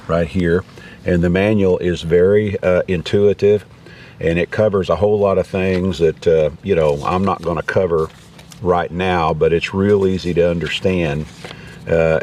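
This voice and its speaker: A man's voice: low voice, fairly even intonation, normal speed, darkish tone. A man hosting a casual, unscripted gear review in a folksy, rambling way. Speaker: video host reviewing gear. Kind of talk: casual unscripted product demonstration. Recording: distant mic, background noise.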